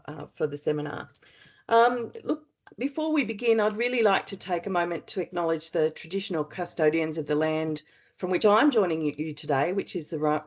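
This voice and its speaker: An Australian woman with a husky head-cold voice, chairing a webinar in a chatty way with many ums and uhs. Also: deep voice, a little mellow, muffled recording. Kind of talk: webinar opening remarks. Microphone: computer mic on a video call.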